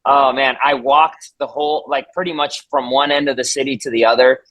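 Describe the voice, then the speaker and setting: A man whose first language is Spanish hosts a casual video call, welcoming members with a chatty, rambling, upbeat manner. His voice sits at a middle pitch. The sound is dry and close, with almost no room echo. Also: fairly even intonation, brisk, fairly bright tone. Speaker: community call host.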